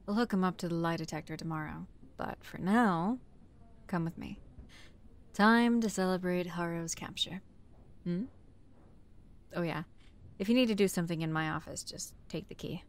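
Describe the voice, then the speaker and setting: A woman's voice: fairly low pitch, moderate pace, long pauses, close to an everyday voice. A woman playing a commanding, sardonic chief detective in a scripted audio roleplay, brusque and relentless. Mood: musing, relaxed. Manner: brusque, commanding, swearing interrogator. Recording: clear background noise.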